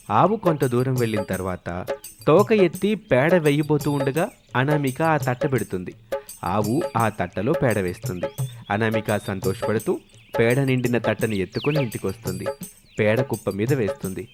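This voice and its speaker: Male narrator, mid-pitched, scripted moral tale, clear music bed underneath. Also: very animated, moderate pace. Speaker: story narrator. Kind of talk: scripted storytelling narration for a moral story cartoon. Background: background music.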